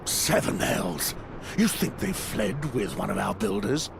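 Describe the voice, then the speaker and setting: Deep, gravelly, resolute soldier. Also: male voice, sing-song, unhurried, noisy background, exaggerated voice. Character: stern, resolute, loyal soldier of a night watch. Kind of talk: dramatic fantasy game acting. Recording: loud music and battle sounds behind.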